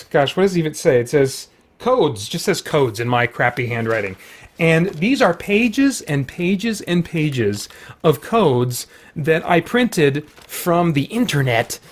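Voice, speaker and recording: A man hosting a casual vlog, chatting in a meandering way and often breaking into his own sentences with asides. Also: on the high side. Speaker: video host showing a collection. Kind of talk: casual rambling vlog chat.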